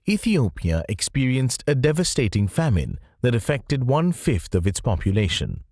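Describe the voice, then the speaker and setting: A man reading scripted nonfiction audiobook narration in a measured, explanatory way. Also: mid-range voice, darkish tone, slightly rough, no room sound, clean studio sound.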